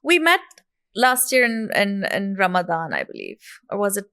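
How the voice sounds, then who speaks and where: A woman hosts an interview podcast and asks her guest questions. She is recorded dry in a studio and speaks at a middle pitch. She nods along with short, affirming interjections. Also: huge pitch swings, normal speed, bright, forceful tone, dry recording. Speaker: podcast host.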